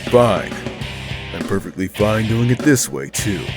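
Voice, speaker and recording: A man's voice: fairly low pitch, measured pace, music in the background. A man acting a cold, arrogant young rival fighter in an audio drama, taunting and sure of himself. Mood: demanding. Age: young adult. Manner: taunting, confident, commanding.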